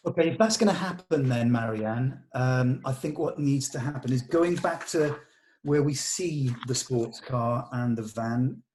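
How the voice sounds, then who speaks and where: A man with a mid-range voice leads an informal creative discussion. He speaks deliberately, thinking aloud as he explains his reasoning and tries out possible lines of dialogue. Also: mid-range voice, darkish tone, slight background noise, low-quality recording. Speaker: script discussion leader at a table read.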